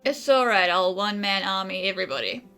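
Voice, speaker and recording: A bright, cocky young traveler. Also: female voice, mid-range voice, fairly quick, clean tone, soft background music. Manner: cocky, teasing. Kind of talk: game voice acting.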